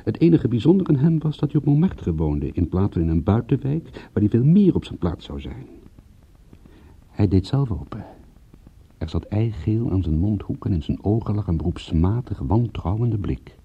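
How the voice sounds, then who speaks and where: Cynical private eye narrating a radio thriller: deep, very husky, deadpan, slightly muffled old recording. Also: male voice, moderate pace, full character voice. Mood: wry, matter-of-fact. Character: world-weary, cynical male private detective recounting a case. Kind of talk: scripted first-person detective radio-play narration.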